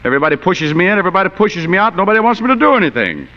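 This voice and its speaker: A man's voice: sits high, sing-song, very fast, sharp tone, full character voice. A man acting a cynical, tough private detective in 1940s radio noir style, with clipped, sardonic slang. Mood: exasperated. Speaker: actor in a radio drama. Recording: muffled, noisy old radio broadcast.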